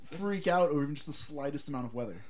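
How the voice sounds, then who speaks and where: A man's voice: a little high, rapid-fire, over a noisy crowd. A man from Los Angeles, a guest in a casual chat, telling stories and acting out what people said. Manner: animated storyteller, acts out quotes.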